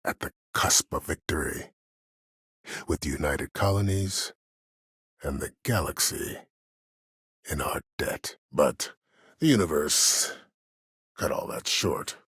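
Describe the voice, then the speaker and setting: An older man acting a video game role: a cunning, proud old disgraced admiral who speaks in a measured, formal, husky voice with quiet menace. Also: no room sound, studio quality, heavily acted. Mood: grave, proud. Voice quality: husky, very deep. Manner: measured, formal, self-assured. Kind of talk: video game character dialogue.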